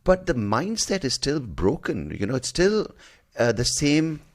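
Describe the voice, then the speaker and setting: A male podcast host from India, talking in a halting way with frequent restarts, his voice jumping higher when he stresses a point. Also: big pitch swings. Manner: halting, with many restarts and fillers, pitch jumping up when emphatic. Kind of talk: casual podcast conversation.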